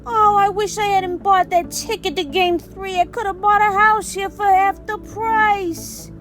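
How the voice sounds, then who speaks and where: A woman voicing a naive, brash male New York sports fan, musing aloud excitably in an audio play. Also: extremely high pitch, a little slow, faint music behind, pitched above the performer's own voice. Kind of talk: quoted character in audio drama storytelling.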